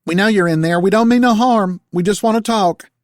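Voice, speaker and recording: A man's voice: sits high, a little fast, dry recording, clean studio sound, voice pushed up, natural voice. A man putting on a down-home motherly older woman. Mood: pleading. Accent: American Southern. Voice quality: folksy, pleading.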